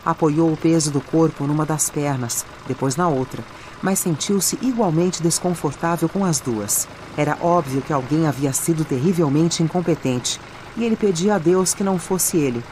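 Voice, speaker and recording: A woman's voice: deep voice, moderate pace, a little mellow, a little hiss or hum. A woman with a Brazilian accent reading scripted audiobook narration. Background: music and added sound effects.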